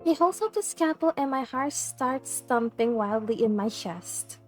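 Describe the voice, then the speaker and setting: A woman reading a visual novel script aloud in an expressive, dramatic way over game music. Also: high-pitched, unhurried.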